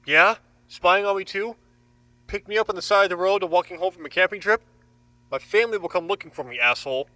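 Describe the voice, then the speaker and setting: A man's voice: sits high, quick pace, bright, forceful tone, dry recording, rough recording. An actor in an audio drama playing a frightened, defiant young man held captive, with an agitated, confrontational manner. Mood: angry, defiant.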